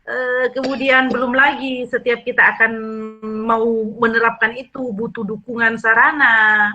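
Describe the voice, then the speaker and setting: A woman's voice: on the high side, steady tone, measured pace, pushed and bright. A woman with a Central Sulawesi Indonesian accent coaching a teacher, encouraging and affirming, asking guiding questions. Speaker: academic supervision coach. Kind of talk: role-play coaching conversation. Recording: dull lo-fi recording.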